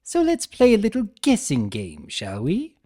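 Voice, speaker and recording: A man voicing a mischievous chaos spirit in a fantasy story, energetic, coy and theatrical, with a teasing way of talking. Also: high-pitched, moderate pace, pitched above the performer's own voice. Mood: playful, coy.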